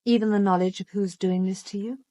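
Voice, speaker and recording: An actress playing a tactful, probing female private detective with a British accent, speaking in a measured, polite, quietly insistent way. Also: medium pitch, steady tone, dry recording, clean studio sound, natural voice. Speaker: actress in an audio drama. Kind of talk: understated British radio drama acting.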